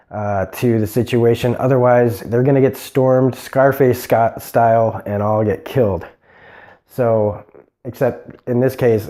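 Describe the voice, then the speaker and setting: A man with a cold gives a casual, unscripted TV review in a low, mellow, nasal voice. He rambles, with lots of ums, uhs and restarts. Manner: rambling, many fillers and restarts. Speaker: TV review show host.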